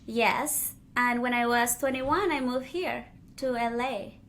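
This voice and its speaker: Woman hosting, chatty and playful, kinda high voice. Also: slow pace. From Colombia. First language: Spanish. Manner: expressive, playful storyteller. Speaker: podcast host. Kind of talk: casual interview chat.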